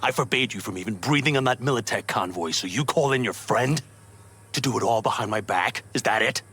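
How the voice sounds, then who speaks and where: A man's voice: on the low side, animated, brisk, a bit bright, breathy edge. An actor playing a stern, authoritarian camp leader in a video game, commanding and cutting in his disapproval. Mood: angry, scolding.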